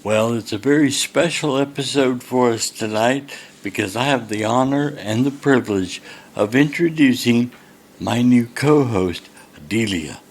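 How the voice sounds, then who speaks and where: A man in his sixties hosting a podcast, asking questions with halting starts and fillers. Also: medium pitch. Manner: halting, many uhs and restarts. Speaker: podcast host. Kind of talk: casual podcast interview.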